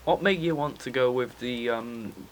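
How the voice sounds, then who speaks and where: Young man, medium pitch, casual classmate question, faint background noise. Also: measured pace. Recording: noisy room recording.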